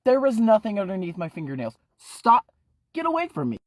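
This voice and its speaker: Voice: medium pitch, slow pace, a little mellow, dry recording, pitched above the performer's own voice. A man doing a snapping, furious teen boy. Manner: harsh, snapping, stuttering when upset. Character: angry cornered teenage boy leader.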